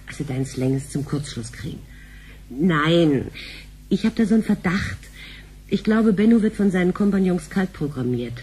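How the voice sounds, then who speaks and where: An actress playing a middle-aged, sharp-tongued wife and mother in a sci-fi radio play, brisk and sardonic. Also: on the low side, expressive, husky. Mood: suspicious, irritated. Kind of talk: radio drama acting.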